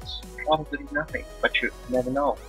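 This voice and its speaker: A man's voice: mid-range voice, steady tone, brisk, faint music behind, lightly acted. A man voicing a playful, mischievous young village girl while reading a visual novel aloud. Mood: wistful.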